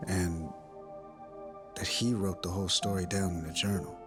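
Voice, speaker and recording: An actor playing a subdued, reflective grown son in a video game cutscene, speaking in a quiet, plainspoken way. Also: male voice, low-pitched, steady tone, moderate pace, over music, lightly acted. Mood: wistful, subdued.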